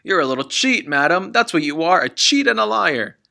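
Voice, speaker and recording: Man putting on a loud, blustering, bullying father. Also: a little high, lively intonation, fairly quick, vocal fry, pitched above the performer's own voice, exaggerated voice. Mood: angry, blustering. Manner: blustering, smug, emphatic.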